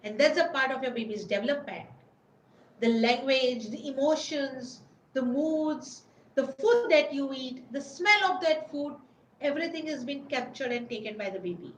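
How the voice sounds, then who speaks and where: A high-voiced woman gives an informal lecture, circling back and repeating phrases as she searches for words. The recording is roomy, with a faint hum of ambience underneath. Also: lively intonation, normal speed. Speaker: webinar presenter.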